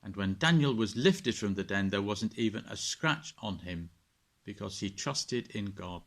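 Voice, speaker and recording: Male storyteller, slightly deep voice, vicar reading bedtime tales to children with expression. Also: measured pace. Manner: expressive storytelling, addressing listeners.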